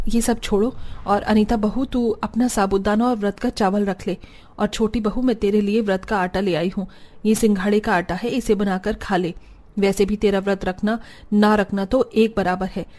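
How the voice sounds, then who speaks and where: A woman voicing a patient, reasonable woman of a family in a cartoon story, measured and gently reproving. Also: medium pitch, natural voice. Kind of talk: Hindi cartoon story dialogue.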